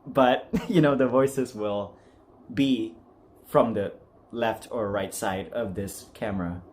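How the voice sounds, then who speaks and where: A man's voice: fairly low pitch, takes it slowly, a little mellow, a little hiss or hum. A man chatting casually and unscripted as a video host, rambling in an apologetic, self-deprecating way. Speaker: amateur voice actor and video host. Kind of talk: casual unscripted vlog chat.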